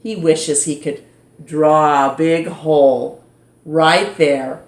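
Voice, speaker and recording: Slow, lowish-voiced woman reading a storybook. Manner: animated, deliberate, dramatizes dialogue for young listeners. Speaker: storyteller reading a picture book aloud. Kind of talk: expressive children's storytime narration. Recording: roomy echo.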